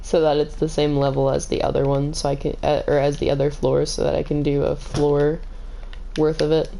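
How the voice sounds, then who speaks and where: Woman game streamer, slightly hoarse and scratchy, calm and low, dark gravelly tone. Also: a little fast. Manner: calm, kept in her lower range. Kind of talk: casual gaming stream chat.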